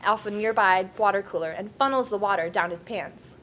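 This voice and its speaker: A woman's voice: mid-range voice, brisk, fairly bright tone. A woman reading scene headings and stage directions as the narrator at a script table read. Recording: table read with background noise.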